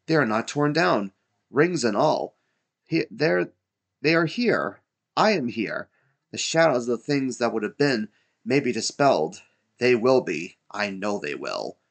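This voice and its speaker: Storybook role: giddy reformed old miser, male narrator acting, exclaiming and repeating himself, very animated pitch. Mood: excited relief. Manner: breathless exclamations, rapid gleeful repetition.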